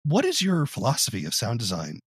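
A man's voice: mid-range voice, huge pitch swings, a little fast, background noise. A man hosting an interview, conversationally asking questions and commenting. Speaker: interview host.

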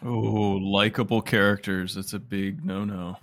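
A man's voice: on the low side, fairly even intonation, a little slow, fairly bright tone, no room sound, clean studio sound. A man in a casual interview, explaining his opinions conversationally as a producer. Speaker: audio drama producer, interview guest.

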